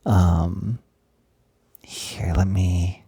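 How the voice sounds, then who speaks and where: A man playing an excited, doting, gentle young roommate in an intimate roleplay audio, soft-spoken and breathy, hesitant but gushing and reassuring. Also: low-pitched, drawn-out words, no echo, exaggerated voice. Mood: gentle, attentive.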